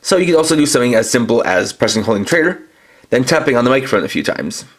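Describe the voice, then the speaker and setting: A man's voice: medium pitch, expressive, very fast, background noise. A man reviewing toys, walking through each feature in a methodical, step-by-step way. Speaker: toy reviewer. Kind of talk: toy review demonstration.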